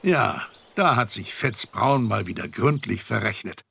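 A man narrating a children's audio drama as an expressive, wry storyteller. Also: fairly low pitch, a little slow, vocal fry, slightly rough, raised voice, heavily acted. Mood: wry, suspenseful.

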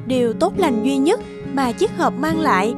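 High-voiced woman narrating fairy tales. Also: expressive, normal speed, over loud music. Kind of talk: scripted fairy-tale narration.

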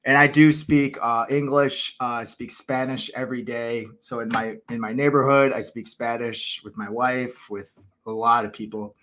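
A man's voice: medium pitch, fairly even intonation, normal speed, few pauses, a bit bright. An American man in his thirties hosting a casual livestream from a small room at home, rambling enthusiastically with lots of fillers and restarts. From United States. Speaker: cricket channel host.

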